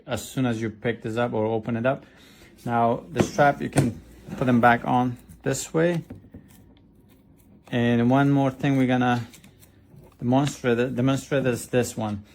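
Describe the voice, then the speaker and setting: A man's voice: mid-range voice, darkish tone, slight background noise. Man giving a hesitant casual product demo. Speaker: product reviewer. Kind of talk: casual hands-on demonstration. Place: indoors at a desk.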